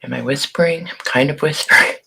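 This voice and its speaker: A hoarse woman who has partly lost her voice, chatting casually on a live stream and greeting viewers by name. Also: deep voice, fast talker, sharp tone. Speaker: online shop owner hosting a live video.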